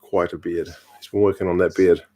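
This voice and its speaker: Relaxed New man in his thirties. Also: deep voice, a little fast. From New Zealand. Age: 30s. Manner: relaxed, chatty, dry humour. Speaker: live stream host. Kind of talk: casual chat reading viewer comments.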